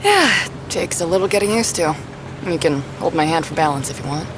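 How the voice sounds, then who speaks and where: A woman's voice: deep voice, lively intonation, brisk, noisy background. A woman playing a brash, foul-mouthed, teasing woman with a playful, slangy manner in an audio drama. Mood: casual, curious.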